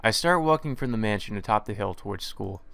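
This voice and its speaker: A man's voice: fairly low pitch, lively intonation, brisk, creaky, a bit bright, dry recording. A young man reading a visual novel aloud as a let's play narrator, earnest and often correcting himself mid-line. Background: guitar music.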